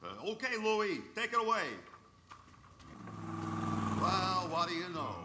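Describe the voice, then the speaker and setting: A chatty working-class postman in a live radio play. He talks colloquially in a very bright, slightly high voice at an unhurried pace. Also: big pitch swings, a little hiss or hum, heavily acted. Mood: chatty, amused. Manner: colloquial, folksy.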